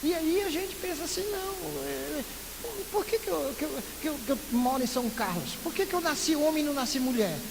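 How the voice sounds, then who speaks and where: A man from Recife preaching a sermon as pastor, lively, full of rhetorical questions and playful asides. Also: extremely high pitch, brisk, creaky. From Recife, northeastern Brazil. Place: church sanctuary. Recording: echoey church hall with loud hiss.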